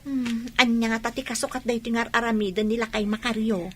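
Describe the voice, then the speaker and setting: A woman's voice: a little high, little pitch movement, fast talker, fairly bright tone, a little hiss or hum, close to an everyday voice. A woman playing a wary, practical young roommate in a radio comedy drama. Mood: questioning.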